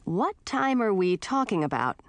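A woman's voice: medium pitch, very animated, moderate pace, no echo. A woman voicing an eager, stubborn young man with a teasing, gallant streak in a storybook reading. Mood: curious.

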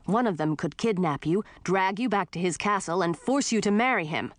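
A woman's voice: medium pitch, animated, normal speed, no room sound, close to an everyday voice. A woman voicing an eager, stubborn young man with a teasing, gallant streak in a storybook reading. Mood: determined, teasing.

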